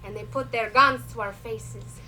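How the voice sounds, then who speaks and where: Woman doing feisty old italian nonna on stage, blunt broken english, high bright voice, mic far off. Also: steady tone. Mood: storytelling. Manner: blunt, halting, broken English with small exclamations. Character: feisty elderly Italian immigrant grandmother, stubborn survivor. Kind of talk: live comedic stage monologue. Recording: distant mic, noisy live room.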